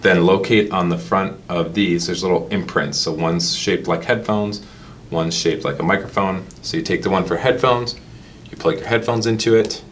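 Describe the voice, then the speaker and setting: Male tutorial presenter, low register, casual step-by-step instructional delivery, faint rumbling noise behind the voice. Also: moderate pace, a bit bright. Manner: step-by-step explaining. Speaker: product demonstrator.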